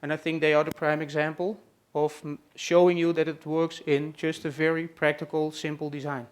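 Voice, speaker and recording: Halting Dutch-accented man presenting. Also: a little high, little pitch movement. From Netherlands. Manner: hesitant, many "uh" and "let's say" fillers. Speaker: presenter hosting a farm tour session. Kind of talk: live introduction to an audience. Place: room at a trade expo.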